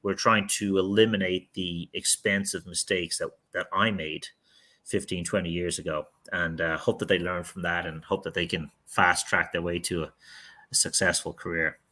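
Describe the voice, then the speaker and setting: A middle-aged man with a low voice and a bright, forceful tone. He answers interview questions candidly and at length. He often restarts his sentences as he thinks aloud. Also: steady tone, normal speed. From outside the United States. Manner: candid, rambling, with frequent restarts. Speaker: real estate team leader, podcast guest.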